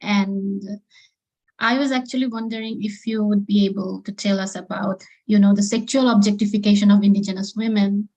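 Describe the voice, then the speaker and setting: A woman from Bangladesh asking a question in an online webinar, measured and soft-spoken. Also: medium pitch, fairly even intonation, rough recording. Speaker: audience member asking a question.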